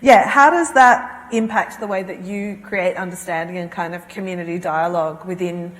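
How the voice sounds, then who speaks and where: Low-voiced woman moderating in a hall. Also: steady tone, normal speed. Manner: attentive, frequent affirming murmurs. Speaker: MC and panel moderator. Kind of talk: moderating a panel discussion. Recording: long hall reverb.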